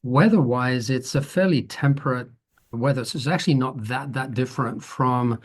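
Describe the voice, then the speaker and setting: A man in his fifties from the Netherlands, a guest photographer talking at length in a relaxed interview, thoughtful and rambling with frequent restarts. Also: mid-range voice, normal speed, breathy edge. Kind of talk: conversational interview.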